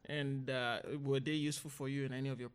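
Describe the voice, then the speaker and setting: A woman podcast host chatting casually with a guest, asking questions in an informal, halting way. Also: extremely low pitch, flat delivery, smooth. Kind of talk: casual interview chat.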